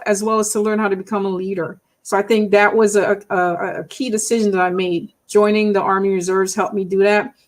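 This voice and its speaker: Woman guest from Trinidad, Trinidadian accent, slightly high voice. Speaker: interview guest. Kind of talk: conversational interview.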